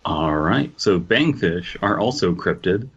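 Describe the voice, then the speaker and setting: Very deep-voiced man, dull lo-fi mic. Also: very animated, unhurried, breathy edge. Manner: hesitant, restarts often. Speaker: podcast co-host. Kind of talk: casual critique chat.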